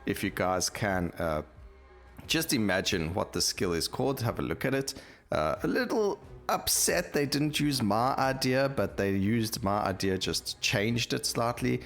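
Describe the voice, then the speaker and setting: Very deep-voiced male gaming commentator. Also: very animated, a little slow, gravelly, a bit bright, soft background music. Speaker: gaming video host. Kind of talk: casual gameplay commentary.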